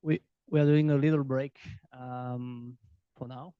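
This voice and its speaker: A man's voice: mid-range voice, level tone, clear, resonant tone, no echo. A man making brief hesitant remarks as session moderator. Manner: hesitant, halting. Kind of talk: casual remarks. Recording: dull, muffled, from off the mic.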